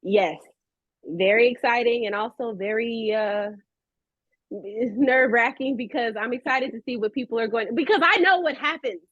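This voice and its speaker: A woman's voice: fairly high pitch, normal speed, dry recording. American woman chatting, close and slightly dull recording. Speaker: voice actress, interview guest. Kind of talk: casual chat.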